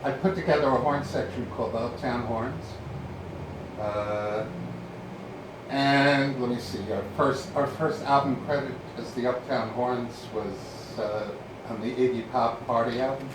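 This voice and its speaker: An older man, a horn player guest, telling anecdotes in an animated way. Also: mid-range voice, unhurried. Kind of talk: casual chat. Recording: very echoey room, distant mic, background noise.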